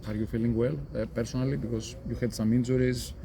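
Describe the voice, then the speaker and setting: A man's voice: mid-range voice, level tone, rapid-fire, gravelly. A man asking a footballer matter-of-fact interview questions. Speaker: sports reporter. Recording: noisy background, off-mic.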